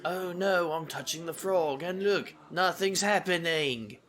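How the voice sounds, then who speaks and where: An actor playing a wry, reckless male paranormal investigator who tells his own tale in an audio drama, with a gravelly, sardonic delivery. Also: a little high, measured pace, very noisy, lightly acted. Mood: nervous bluster. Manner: wisecracking, casual, sardonic. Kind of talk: audio drama acting with noir-like first-person narration.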